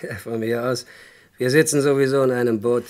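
An actor playing a wary small-time crook, offhand, terse and distrustful, in a German radio crime play. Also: male voice, mid-range voice, steady tone, fairly quick, a little mellow, lightly acted.

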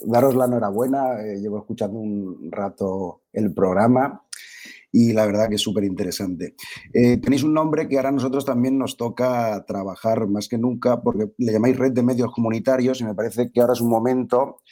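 A man's voice: medium pitch, fairly quick, mellow. A man explaining his neighbourhood association's work as a guest in a radio interview.

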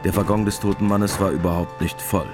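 Male narrator, low voice, scripted literary storytelling, radio drama, music behind. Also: steady tone, a little fast. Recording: clear music bed behind.

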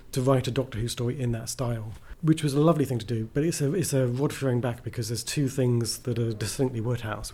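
A man's voice: mid-range voice, dark tone, breathy edge. A man chatting casually as an interview guest, a writer who is enthusiastic, rambling and self-deprecating.

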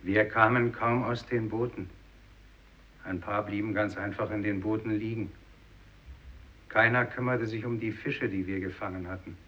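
A man's voice: on the low side, fairly even intonation, moderate pace, natural voice. An actor playing a wounded, bleakly resigned fisherman, grave in tone, in a 1950s German radio drama. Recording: muffled old radio recording, faint noise.

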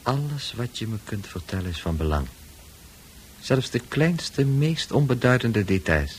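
An actor playing a calm, patient male psychiatrist in a radio drama, measured and coaxing. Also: medium pitch, slight background noise.

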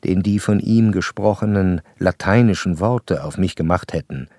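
An actor playing an educated, curious gentleman who narrates a literary radio play in a measured, precise manner. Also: sits low, no room sound, studio quality. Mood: observant, measured.

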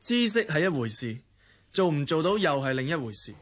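Wry, lightish-voiced Hong Kong big brother. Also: male voice, fairly high pitch, big pitch swings, fairly quick, a bit bright. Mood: reflective. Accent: Hong Kong Cantonese. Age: young adult. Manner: wry, reflective, teasing. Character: easygoing, philosophical, wry elder brother. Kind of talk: Cantonese radio drama acting.

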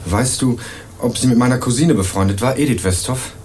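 An actor playing an earnest young male student investigator in a radio crime drama, narrating and questioning in a measured, dry way. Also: mid-range voice, a little mellow, slight background noise, lightly acted. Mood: earnest, probing. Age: young adult. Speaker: narrator and lead character.

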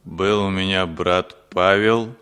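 An actor in his thirties playing a guarded Soviet man who answers in a measured way in a 1960s radio drama. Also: low-pitched, steady tone, a bit bright, clear, resonant tone, exaggerated voice.

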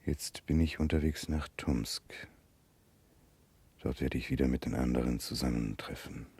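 An actor playing a grave, remorseful Russian gentleman in a German radio drama of the 1960s, speaking in a measured, earnest way. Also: rumbling low, slightly rough, exaggerated voice. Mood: calm, reflective.

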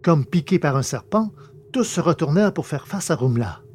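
Guy narrating a sci-fi audio drama, calm measured reading, soft mellow tone. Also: fairly high pitch, a little hiss or hum. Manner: measured storytelling. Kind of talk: scripted narration.

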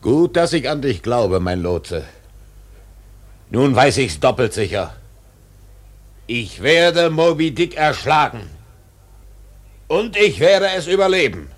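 A man playing a brooding, obsessed old whaling captain in a radio drama, with gravelly, weighty speech. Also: medium pitch, sing-song, slow pace, pauses often. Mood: defiant exultation. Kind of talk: German radio play acting.

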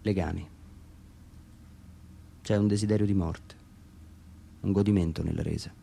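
A man reading his own literary text as a radio theatre monologue, introspective and halting, with pauses between short phrases. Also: sits low, steady tone, creaky, dark tone, breathy edge.

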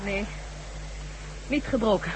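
A woman's voice: medium pitch, takes it slowly, natural voice. An actress playing a loyal female ally, pleading and insistent, in a science fiction radio drama. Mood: shaken, concerned. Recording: noisy old radio drama recording.

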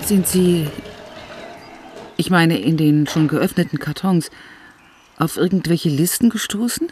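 An actor playing a probing, clinical man in radio play dialogue, explaining things in a deliberate way. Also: fairly high pitch, a little mellow, a little hiss or hum, lightly acted. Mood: probing, analytical.

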